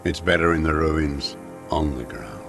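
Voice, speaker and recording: An actor playing a bitter, weary old communist deserter and former commissar in a video game, speaking in terse, brooding phrases with a smoker's rasp. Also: male voice, a bass voice, unhurried, fairly bright tone, full character voice. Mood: grim, brooding. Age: older. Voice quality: gravelly, coughing smoker's voice. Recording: music under the voice.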